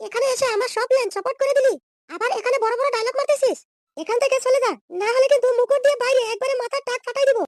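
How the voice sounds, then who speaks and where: A man's voice: very high-pitched, steady tone, very fast, a little hiss or hum. A man voicing a brash, scolding, scheming young woman in a comedy cartoon. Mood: angry, abusive. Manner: scolding, threatening, slangy insults.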